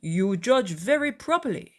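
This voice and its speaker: Woman acting a wry, dry country gentleman. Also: high-pitched, sing-song, unhurried, pitched above the performer's own voice. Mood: dryly amused. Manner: dry, formal, mock-courteous. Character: wry middle-aged country gentleman, quietly mocking father.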